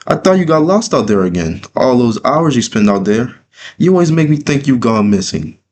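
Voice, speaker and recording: A man voicing a doting, teasing elderly grandmother in a gushing, gently chiding way for a dramatic storybook reading. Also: mid-range voice, very animated, moderate pace, voice pushed up. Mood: fussing, relieved. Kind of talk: dramatic storybook read-through.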